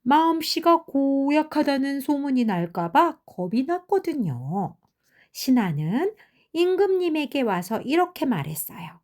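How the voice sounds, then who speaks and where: An older woman tells fairy tales to children. Her voice is high and moves up and down expressively, at a slow, gentle storyteller's pace. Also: sing-song.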